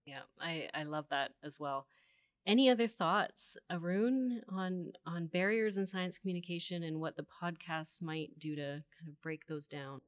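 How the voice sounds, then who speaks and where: A woman's voice: lowish voice, normal speed, dry recording, clean studio sound. A woman moderating a podcast panel, posing questions to the guests and summing up their points.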